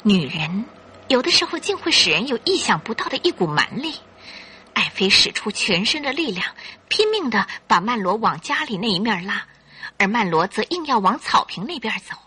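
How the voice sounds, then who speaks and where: A woman's voice: mid-range voice, sing-song, normal speed, bright, forceful tone. A woman narrating a radio drama in a theatrical, suspenseful storytelling style. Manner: theatrical, suspenseful, clearly articulated.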